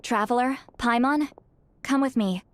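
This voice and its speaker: A blunt, proud warrior woman from a video game, acted by a woman. Her rather high voice moves slowly in clipped, challenging phrases, with long reverb around it. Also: slight background noise, lightly acted. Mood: resolved. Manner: curt, challenging, reluctant. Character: proud, gruff woman warrior, blunt but loyal friend. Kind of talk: dramatic video game voice acting. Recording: long reverb, faint music behind.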